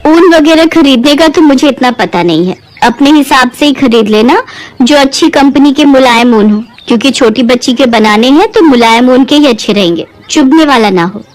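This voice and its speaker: Woman voicing a high, friendly city customer. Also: expressive, moderate pace, low-quality recording. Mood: friendly, requesting. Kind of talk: Hindi moral-story cartoon voicing.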